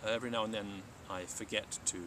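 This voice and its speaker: A man's voice: fairly low pitch, fairly even intonation, fast talker, distant mic, background noise. A man speaking as a teacher hosting a live stream, reading aloud and talking informally.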